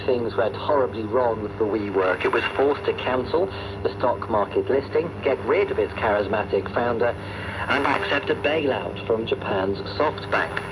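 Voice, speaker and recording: Noisy shortwave broadcast, man, medium pitch, scripted news presenting and interviewing. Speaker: radio news programme presenter. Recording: noisy shortwave radio reception.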